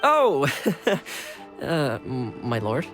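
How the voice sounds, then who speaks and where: Loyal game retainer: low voice, hugely varied intonation, playfully deferential. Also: female voice, wildly swooping pitch, extremely slow, music in the background, heavily acted. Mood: teasing, deferential. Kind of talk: game character acting.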